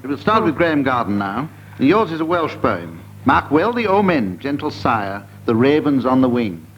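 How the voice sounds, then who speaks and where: A man's voice: medium pitch, big pitch swings, moderate pace. A man hosting a comedy panel game as chairman. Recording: noisy dull live broadcast recording.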